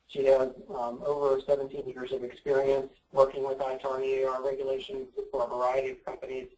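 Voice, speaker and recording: A man's voice: medium pitch, completely flat delivery, a little fast, a little mellow, recorded from a distance, poor audio. A man hosting a webinar, getting over a cold with his voice giving out, speaking in a plain, conversational way. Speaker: webinar host. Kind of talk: webinar introduction and announcements.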